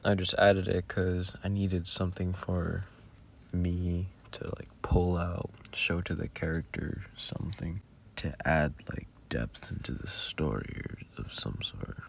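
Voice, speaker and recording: A man's voice: low-pitched, unhurried, gravelly, a little hiss or hum. A man who makes audio roleplays, giving casual, rambling behind-the-scenes commentary with muttered asides.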